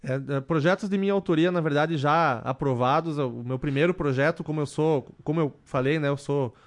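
A man in his thirties, a councilman, answering questions in a radio interview in a measured way, with many fillers and restarts. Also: on the high side. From southern Brazil. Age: 30s. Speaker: city councilman, radio guest.

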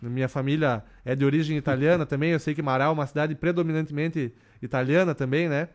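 A man in his thirties, a councilman, answering questions in a radio interview in a measured way, with many fillers and restarts. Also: fairly high pitch, fairly even intonation. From southern Brazil. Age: 30s. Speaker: city councilman, radio guest.

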